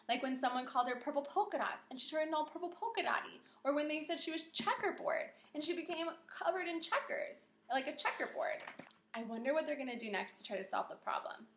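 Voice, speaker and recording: Female kindergarten teacher, expressive read-aloud with encouraging questions, higher-pitched and bright, roomy echo. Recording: echoey room.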